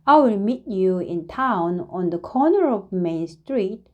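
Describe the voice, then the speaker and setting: A woman voicing a neat, brisk, reproachful female storybook character, speaking in a pointed, exclaiming way. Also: mid-range voice, animated, muffled recording.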